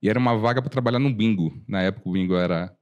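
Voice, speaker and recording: Brazilian guy in his 40s, real deep voice, tells stories and acts out the dialogue. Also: very fast, dull audio. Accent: Brazilian Portuguese. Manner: animated storyteller, acts out dialogue, frequent restarts. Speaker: lawyer and podcast guest. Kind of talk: casual storytelling interview.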